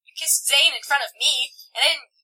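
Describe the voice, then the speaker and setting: A woman reading aloud as a defensive young man, scornful and combative, yelling at his girlfriend. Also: high-pitched, fairly quick, pitched above the performer's own voice, rough recording.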